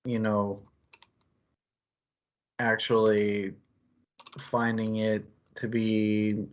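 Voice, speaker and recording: Male book club co-host, low and fairly even voice, rambling casual delivery, slightly dull stream audio. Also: extremely slow. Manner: rambling, conversational, peppered with "like" and "you know". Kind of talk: casual book discussion chat.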